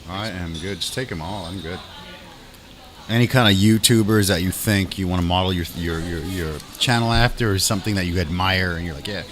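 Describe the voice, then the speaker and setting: A man hosting a podcast, chatting casually and excitedly with bro-ish slang and the odd swear word. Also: low-pitched, fairly quick, background noise. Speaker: podcast host.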